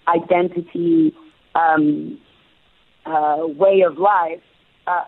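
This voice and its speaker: A woman's voice: low-pitched, steady tone, slow pace, no echo. A British-raised woman speaking as an interview guest, reflective and thoughtful, often restarting and repeating words as she thinks. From United Kingdom. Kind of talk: casual interview talk. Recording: phone-like narrow sound.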